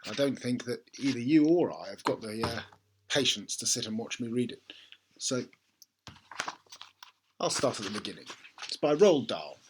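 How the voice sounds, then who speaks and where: Animated man reading a children's story. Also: medium pitch, moderate pace, long pauses, noisy background. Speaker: storybook reader. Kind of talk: expressive children's book narration.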